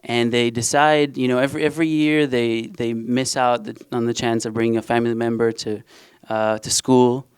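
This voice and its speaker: A man's voice: mid-range voice, flat delivery, normal speed, hardly any pauses, clean tone, no echo. A young man from Israel whose first language is Hebrew, speaking hesitantly with many fillers and restarts on a panel. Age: young adult. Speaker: panel author. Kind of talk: panel discussion and reading.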